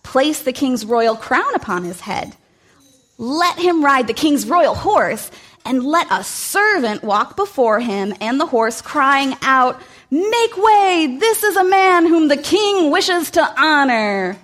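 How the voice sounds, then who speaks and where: Woman doing a vain, plotting male courtier, gloating and a little raised in pitch. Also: expressive, slow pace, fairly bright tone, full character voice. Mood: grandiose. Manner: resentful, then smug and gloating. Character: proud, scheming male royal minister.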